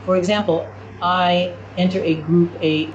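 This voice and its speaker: A woman giving a step-by-step spoken product tutorial as a demonstrator, with halting, repetitive phrasing. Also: on the low side, flat delivery, muffled recording. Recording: clearly noisy room ambience.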